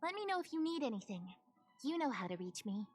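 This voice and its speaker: A woman's voice: sits high, big pitch swings, quick pace. A woman voicing a polite, businesslike investigator in a video game, formal and composed. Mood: composed, courteous. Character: polite, businesslike female investigator reporting findings. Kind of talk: game voice acting. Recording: music under the voice. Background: music.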